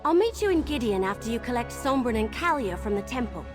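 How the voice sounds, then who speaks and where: A woman's voice: fairly high pitch, very animated, fast talker. A woman playing an eager, chatty young adventurer in a video game, with bubbly, folksy exclamations. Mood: cheerful, chatty. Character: eager, chatty young female adventurer who loves cake. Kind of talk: video game character dialogue. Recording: game music underneath. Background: game music.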